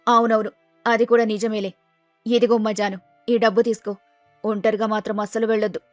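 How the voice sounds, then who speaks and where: A woman's voice: medium pitch, level tone, brisk, no echo, faint music behind, voice pushed down, close to an everyday voice. A woman voicing a middle-aged, fussing, practical mother of a bride in storybook dialogue, with a motherly, instructing manner. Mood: agreeable, cautioning.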